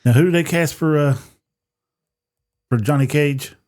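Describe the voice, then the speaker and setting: A man's voice: on the high side, measured pace, darkish tone. A man chatting casually about films as a panelist on a podcast. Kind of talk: casual group chat about movies.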